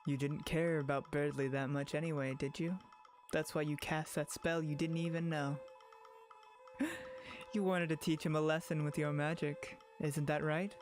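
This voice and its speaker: A sinister tempter, played by a man from a visual novel dub, with a somewhat high-pitched voice. He coaxes and taunts, insinuating with leading questions and dangling power. Music runs underneath. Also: flat delivery, moderate pace, lightly acted. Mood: taunting. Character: sinister, coaxing manipulator goading a girl toward power. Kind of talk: visual novel fan dub, dramatic acting.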